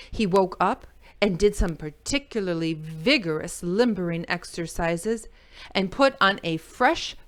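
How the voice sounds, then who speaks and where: Slow, expressive woman reading storytime. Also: medium pitch. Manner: animated, exclaiming, dramatic emphasis for children. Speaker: library storytime host. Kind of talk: expressive picture-book read-aloud with chatty asides.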